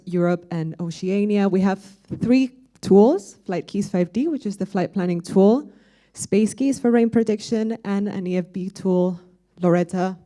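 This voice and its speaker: A woman's voice: medium pitch, mellow. A young woman from Spain with a Spanish accent, giving a friendly, explanatory conference presentation with frequent fillers. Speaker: conference speaker. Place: conference room with audience.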